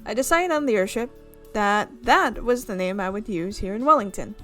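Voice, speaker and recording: A woman's voice: mid-range voice, animated, normal speed, fairly bright tone, faint music behind. A young woman reading a visual novel aloud, breaking off for chatty, amused asides about the script. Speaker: visual novel let's player. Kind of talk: reading a game aloud with casual commentary.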